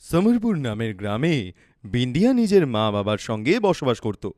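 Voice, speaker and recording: Man narrating a story with lively pitch. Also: moderate pace, no echo, studio quality.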